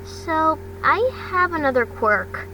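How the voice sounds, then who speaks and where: A woman's voice: high voice, animated, takes it slowly, bright, forceful tone, pitched above the performer's own voice, heavily acted. A woman voicing a vulnerable teenage boy hero student in a fan fiction reading, hesitant and earnest. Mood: anxious. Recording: distant mic, clearly noisy background.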